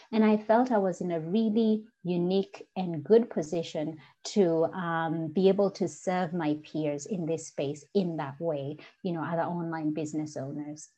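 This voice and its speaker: Kenyan lady in her forties, kinda low voice, earnest and thoughtful, telling her story on a podcast. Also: mellow, dull audio. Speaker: guest, diversity coach. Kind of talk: podcast interview.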